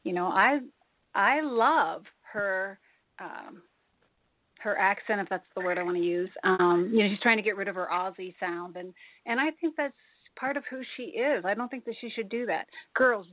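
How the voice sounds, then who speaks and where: A woman's voice: mid-range voice, normal speed, no room sound. A woman co-hosting a casual talk show, chatty and laughing often. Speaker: co-host. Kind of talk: casual chat. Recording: phone-like call audio.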